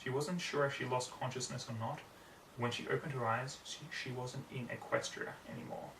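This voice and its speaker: A man's voice: mid-range voice, steady tone, fairly quick. A young man reading a story aloud as a dramatic, earnest narration, recorded in a small home room on a distant camera mic. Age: young adult. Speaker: story reader. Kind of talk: dramatic fan fiction narration. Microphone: distant camera microphone. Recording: roomy, distant mic, background noise.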